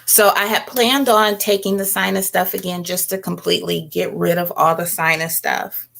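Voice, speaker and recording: A woman's voice: lowish voice, little pitch movement, normal speed, vocal fry, a bit bright. A middle-aged woman chatting casually and informally as host of a livestream, replying to viewers as she goes. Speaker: host of a home herbalism livestream. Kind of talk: casual livestream chat, reading and answering comments.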